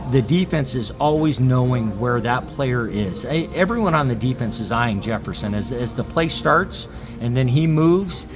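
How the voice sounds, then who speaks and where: A man's voice: mid-range voice, lively intonation, normal speed, music in the background. A man speaking as a sports talk guest, giving his analysis in casual conversation.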